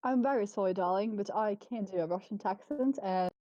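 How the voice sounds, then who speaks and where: A woman's voice: mid-range voice, fairly quick, mellow. A woman from Croatia, chatting casually on a livestream with a friend, giggling and poking fun at herself. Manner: giggly, laughs often, self-mocking. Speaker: livestream co-host. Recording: dull lo-fi stream audio.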